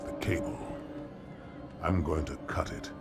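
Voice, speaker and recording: A man voicing a proud, resolute alien warrior who speaks tersely and gravely in a video game. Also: very deep voice, a little slow, full character voice. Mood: grim resolve. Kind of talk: scripted game cutscene acting. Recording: music behind.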